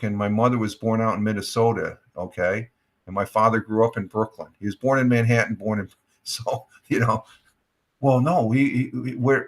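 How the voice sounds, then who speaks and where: An older American man hosting a casual livestream chat, rambling with many fillers and restarts. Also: mid-range voice. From United States. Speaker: livestream host.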